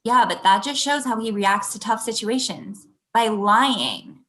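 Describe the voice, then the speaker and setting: A woman's voice: a little high, very animated, unhurried, a bit bright. A young woman acting a hurt, confrontational girlfriend in a naturalistic script read over a video call. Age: young adult. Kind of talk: acted pilot table read, naturalistic. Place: small room at home, video call. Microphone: computer video-call mic.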